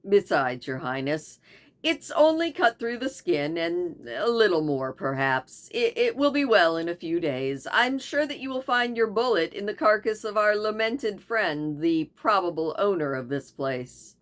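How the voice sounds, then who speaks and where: A woman's voice: lowish voice, big pitch swings, measured pace. A woman voicing a gallant young male goat hunter who speaks in a light, joking way. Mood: wry.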